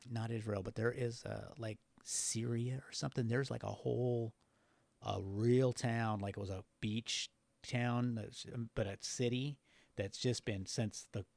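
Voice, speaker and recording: A man's voice: medium pitch, no room sound. A man telling stories in loose, casual conversation, unfiltered and freely swearing. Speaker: podcast guest. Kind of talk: casual, rambling conversational storytelling.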